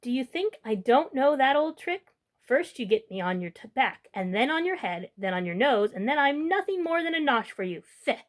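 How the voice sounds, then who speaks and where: A woman's voice: sits high, big pitch swings, moderate pace, voice pushed up. A woman voicing a small, boastful, mischievous food boy in a storybook, taunting with Yiddish-flavored phrasing. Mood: cocky. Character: cocky, mischievous runaway matzo ball boy, small and boastful.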